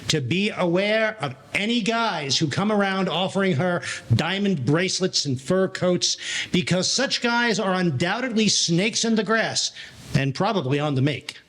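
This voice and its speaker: Man playing a high, swooping Broadway wise guy. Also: animated, normal speed, fairly bright tone. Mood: sympathetic, knowing. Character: sympathetic, moralizing, gossipy Broadway wise guy. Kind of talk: old-time gangster audio play acting.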